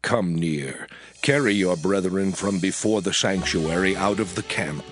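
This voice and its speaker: An actor playing a solemn, authoritative male prophet in a dramatized scripture reading, declaiming commands gravely. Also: low-pitched, a little slow, faint music behind.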